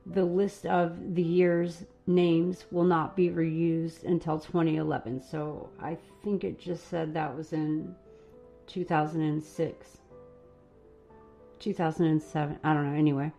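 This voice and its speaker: A woman hosting a live stream, chatting casually and rambling a little while her voice is going hoarse. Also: deep voice, level tone, measured pace, mellow, soft background music. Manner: casual, rambling, laughs at herself. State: losing her voice. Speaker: host.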